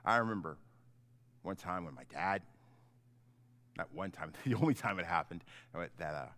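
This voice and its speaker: Middle-aged man, lowish voice, highly varied intonation, children's pastor, informal storytelling, halting conversational delivery, simple words. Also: expressive, fairly bright tone. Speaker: pastor giving a children's chapel message.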